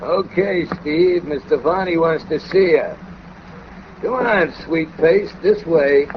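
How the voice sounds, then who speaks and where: Dull gangster henchman, subservient, terse, 1940s radio drama, clearly noisy recording. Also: on the high side, takes it slowly. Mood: flat obedient.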